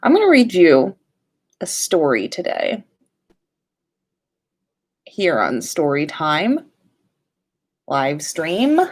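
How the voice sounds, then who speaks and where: A woman's voice: deep voice, huge pitch swings, extremely slow, pauses often, a little mellow. A woman hosting a casual livestream in a playful, informal way, sometimes sing-song and casually sweary. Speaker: livestream host.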